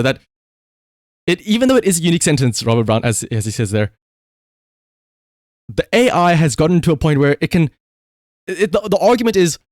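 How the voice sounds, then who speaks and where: A man's voice: on the high side, no room sound, studio quality. A man giving casual livestream commentary in a rambling, conversational, emphatic way, as the host talking to viewers.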